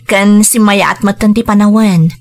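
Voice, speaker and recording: A woman playing a caring, gentle aunt in an Ilocano radio drama, with a Filipino accent. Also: mid-range voice, steady tone, normal speed, lightly acted. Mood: soothing. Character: caring, gentle aunt Tanya looking after children.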